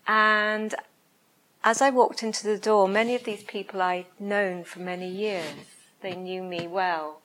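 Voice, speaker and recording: Soft-spoken woman meditation teacher, hesitant and searching, gentle pauses between phrases. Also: medium pitch, fairly even intonation, rough recording. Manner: slow, hesitant, searching for words. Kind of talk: reflective dharma talk and guided meditation. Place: room with a listening group.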